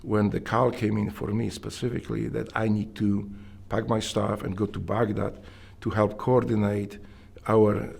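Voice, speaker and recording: A middle-aged man with a Polish accent, earnestly telling his story in an interview, often halting and restarting his sentences. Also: fairly low pitch, little pitch movement, gravelly, darkish tone, husky. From Poland. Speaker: interviewee, Navy SEAL veteran.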